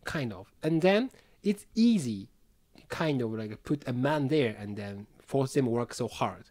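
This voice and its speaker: Woman, Japanese accent, low voice, very animated intonation, casual podcast host, repeats short agreeing phrases. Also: measured pace. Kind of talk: casual chat.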